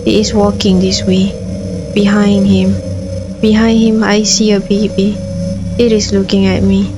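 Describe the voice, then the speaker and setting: A woman's voice: mid-range voice, flat delivery, measured pace. A woman doing gameplay commentary, switching between casual reactions and reading story text aloud, with game music behind her. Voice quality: frequent throat clearing. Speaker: gameplay streamer. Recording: background music under voice.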